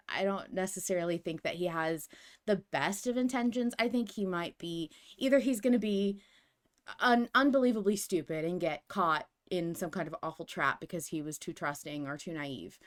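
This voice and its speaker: Female podcast host, casual and animated, prone to emphatic, rambling asides. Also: mid-range voice. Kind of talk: casual discussion of a fiction podcast.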